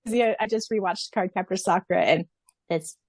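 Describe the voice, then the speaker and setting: A woman's voice: medium pitch, normal speed, no echo. Chatty, giggly woman in her thirties, dry sound. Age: 30s. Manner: chatty, giggly, acts out quotes. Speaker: podcast co-host and audiobook reader. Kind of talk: casual fan podcast chat.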